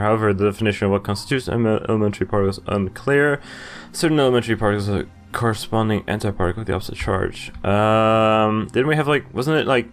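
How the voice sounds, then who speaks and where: A young man giving casual playthrough commentary and reading game text aloud, rambling and hesitant. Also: fairly low pitch. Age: young adult. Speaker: gaming video host. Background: game music.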